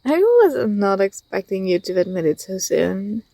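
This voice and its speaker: A young woman playing an affectionate, teasing university student in an intimate ASMR roleplay, speaking softly with a tender, hesitant delivery. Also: medium pitch, sing-song, measured pace, vocal fry, dry recording, heavily acted. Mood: pleased, teasing.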